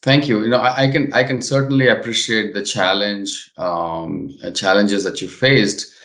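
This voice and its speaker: A man hosting a business interview, speaking in halting, chatty phrases, in a roomy echoing recording. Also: lowish voice, steady tone, fairly bright tone. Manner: halting, chatty phrasing with frequent "you know". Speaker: interview host.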